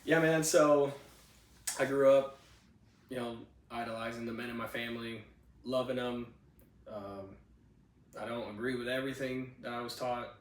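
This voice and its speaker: A man's voice: mid-range voice, steady tone, normal speed, pauses often, recorded from a distance. Chill twenty-something guy from cuba rambling on his home podcast, roomy bedroom echo, a bit off-mic. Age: 20s. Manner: laid-back, chatty, frequent "you know what I'm saying". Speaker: podcast host. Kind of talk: casual personal storytelling. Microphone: handheld mic.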